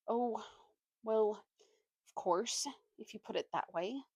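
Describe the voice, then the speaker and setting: A woman voicing a gentle, easily persuaded male donkey in a storybook, sounding weary, plaintive and apologetic. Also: medium pitch, slow, slightly rough, dry recording, highs cut off. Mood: resigned.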